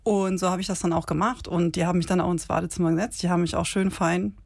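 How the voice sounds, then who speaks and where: A woman in her fifties co-hosting a casual podcast, telling a story in a lively way with fillers and short pauses. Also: on the low side, creaky, no echo. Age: 50s. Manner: animated retelling with many fillers. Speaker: podcast co-host.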